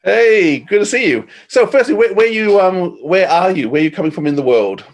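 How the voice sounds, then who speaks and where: A man's voice: high-pitched, lively intonation, a little fast, dull audio. A man with an Australian accent, hosting an interview podcast in a conversational style. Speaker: podcast host.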